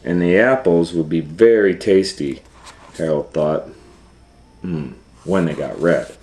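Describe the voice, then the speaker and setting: A man's voice: sits low, slow pace, a little mellow, a little hiss or hum. A man reading a children's storybook aloud as a storytime host, retelling it informally with musing asides. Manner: improvised asides and musing hums.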